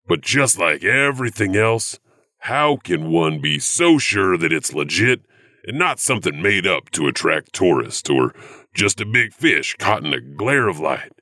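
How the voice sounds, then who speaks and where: A man's voice: deep voice, very animated, unhurried, bright, forceful tone, no echo, clean studio sound, full character voice. A man playing a sly janitor who hosts an audio horror anthology, telling creepy tales in a theatrical, musing, wry manner. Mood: ominous. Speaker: host and narrator. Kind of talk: scripted spooky storytelling.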